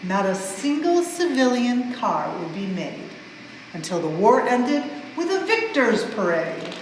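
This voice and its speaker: A woman reading a rhyming storybook aloud in an expressive, measured way, as for a museum storytime. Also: a little high. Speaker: museum staff member reading a children's book aloud. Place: indoors in a museum exhibit space. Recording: very echoey reverberant hall, faint background noise.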